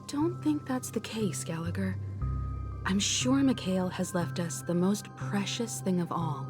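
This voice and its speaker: A composed young woman adventurer played by an actress in a game cutscene, with a mid-range voice. She is earnest and measured, speaking with quiet conviction while music plays behind her. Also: mid-range voice, lightly acted.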